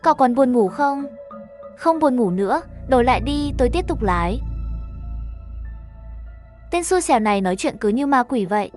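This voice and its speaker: A woman with a high-pitched voice narrates a scripted horror animation story, voicing the dialogue as she goes. Faint music plays underneath her. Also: expressive, moderate pace, pauses often, a little mellow.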